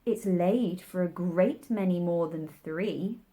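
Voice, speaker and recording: A woman voicing a prim, curious little girl who is proper, emphatic and quick to object, in a storybook reading. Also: lowish voice, warm tone. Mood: indignant.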